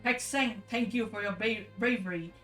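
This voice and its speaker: A woman's voice: medium pitch, normal speed, a bit bright, reverberant, distant mic, faint music behind, lightly acted, poor audio. A woman voicing a stern king and father from a storybook in a declamatory manner. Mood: grateful, solemn. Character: elated king, stern father banishing a scoundrel.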